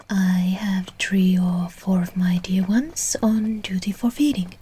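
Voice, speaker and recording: A woman playing a caring, soothing vampire owner in an ASMR roleplay, speaking in a soft, breathy whisper with tender reassurance. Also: mid-range voice. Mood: calm, persuasive.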